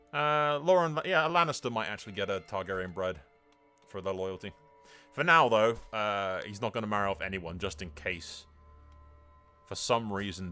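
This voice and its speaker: Bright, low-voiced man commentating a game. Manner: animated storytelling with sweary, irreverent asides. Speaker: gaming video narrator. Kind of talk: casual roleplay game commentary.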